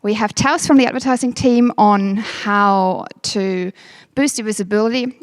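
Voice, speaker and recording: A woman's voice: medium pitch, a little slow, no room sound, clean studio sound. A woman in her thirties with a German accent hosts a workshop, speaking in a chatty, self-deprecating way with many ums. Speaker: event host. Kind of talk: welcome speech at a workshop. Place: event room.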